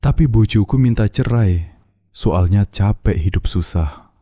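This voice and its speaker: An actor voicing a poor, meek satay-seller husband with a Javanese accent, timid and pleading in a cartoon drama. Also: male voice, lowish voice, a little slow, warm tone, no room sound.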